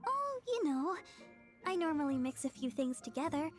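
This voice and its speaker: Anime game teen girl: shy, gentle, high girlish voice, music underneath. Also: big pitch swings, moderate pace. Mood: bashful, cheerful. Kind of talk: anime game dub acting.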